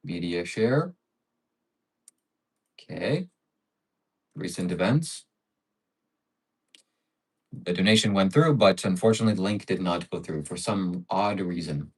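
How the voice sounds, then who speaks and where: A man's voice: deep voice, animated, unhurried, pauses often, slightly rough. A man hosting a casual livestream, thanking donors and stacking up adjectives as he chats. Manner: reads out donations and piles up adjectives. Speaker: livestream host.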